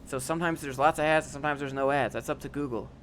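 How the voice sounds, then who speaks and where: A man's voice: a little high, fairly even intonation, rapid-fire, a bit bright, a little hiss or hum. A young man casually reading and answering chat on a livestream, dry and sarcastic, often rambling.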